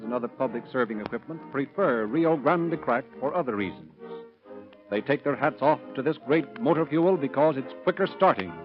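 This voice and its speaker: Formal 1930s radio announcer over music, dull sound. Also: male voice, mid-range voice. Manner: formal, declamatory, measured phrasing. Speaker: announcer and narrator. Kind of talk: 1930s radio announcing, scripted narration and sponsor pitch.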